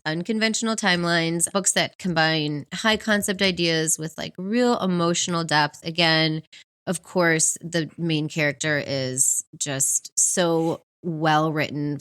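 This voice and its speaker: A woman's voice: on the low side, a bit bright, clean tone. A woman co-hosting a book podcast, talking conversationally and enthusiastically as she recommends books. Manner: enthusiastic, chuckles often.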